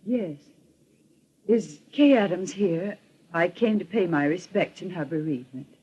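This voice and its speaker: A woman's voice: lowish voice, lively intonation, unhurried, darkish tone, breathy edge. An actress playing a poised, accusing society woman in a 1940s radio melodrama, formal and emphatic. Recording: muffled old radio recording.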